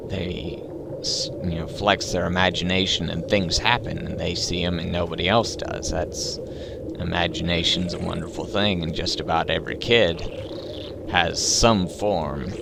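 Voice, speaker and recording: A man in his twenties with a deep, slightly crackly voice, talking slowly and thoughtfully in a hesitant personal monologue. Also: steady tone, creaky. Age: 20s. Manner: slow, thoughtful, hesitant with fillers. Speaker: gaming channel host. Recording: loud background noise.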